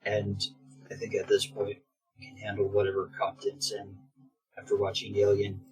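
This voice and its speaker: A speaker with a very deep-pitched voice chats casually as a guest. Faint music plays behind the voice. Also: completely flat delivery, moderate pace, poor audio. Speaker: co-host or guest. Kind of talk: casual chat.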